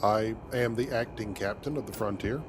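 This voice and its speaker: Low-voiced man roleplaying a spaceship captain, noisy. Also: moderate pace. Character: steady, dry-humored male spaceship captain adventurer. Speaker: gamer voicing his player character. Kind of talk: in-character roleplay over gameplay. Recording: clearly noisy, game ambience behind.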